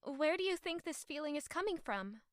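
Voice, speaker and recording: A woman playing a sweet, earnest young noble lady in love, gently questioning, in a romantic audio drama. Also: high-pitched, very animated, normal speed, dry recording, clean studio sound.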